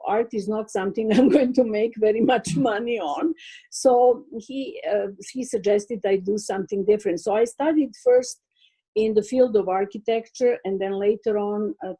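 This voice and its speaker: A woman's voice: medium pitch, warm tone, husky, poor audio. An older woman from Serbia with a Serbian accent, answering interview questions as a guest artist over a video call, hesitant and full of fillers. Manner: hesitant, many fillers, slow thoughtful pace.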